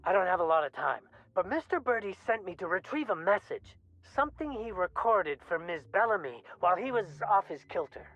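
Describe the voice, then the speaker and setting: An actress playing a jittery, folksy company mascot in a comic video game, flustered and theatrical, with a muffled sound. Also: female voice, medium pitch, big pitch swings, brisk, few pauses, fairly bright tone. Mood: nervous bargaining.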